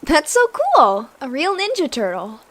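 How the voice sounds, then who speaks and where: A woman voicing an upbeat, excitable young female mutant lizard, a chatty idealist, in a comic dub. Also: high-pitched, measured pace, full character voice. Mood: awed, excited.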